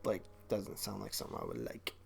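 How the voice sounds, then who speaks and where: Halting man talking movies over guitar. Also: lowish voice, wildly swooping pitch, gravelly. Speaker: movie podcast co-host. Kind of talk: casual film review chat.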